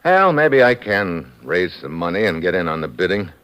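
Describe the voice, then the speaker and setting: An actor playing a tough, wry secret agent with an American accent in a 1950s radio drama, blunt and clipped. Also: male voice, low-pitched, big pitch swings, moderate pace, fairly bright tone, clear, resonant tone. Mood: dry, businesslike. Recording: old radio recording, faint noise.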